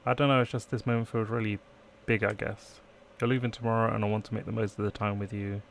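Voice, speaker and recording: A man's voice: on the low side, little pitch movement, fast talker, creaky, slight background noise. A man live-streaming a let's play, reading game text aloud and chatting in playful asides. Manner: playful asides, trying out accents.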